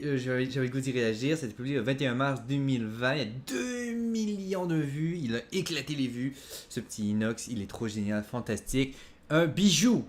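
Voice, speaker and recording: A man giving casual, playful reaction commentary, exclamatory and slangy, with English words mixed in. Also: mid-range voice. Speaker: host of a reaction video.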